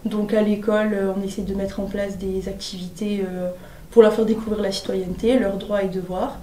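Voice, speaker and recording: An eighteen-year-old woman, a civic service volunteer, giving a hesitant personal testimonial with many pauses. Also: medium pitch, little pitch movement, mellow. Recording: roomy distant recording, faint noise.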